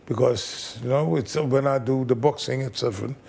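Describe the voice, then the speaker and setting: A man, a fight trainer, telling animated stories in broken English as an interview guest. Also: medium pitch, moderate pace, vocal fry, husky. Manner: animated storyteller, broken English, acts out quotes. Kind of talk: casual storytelling interview.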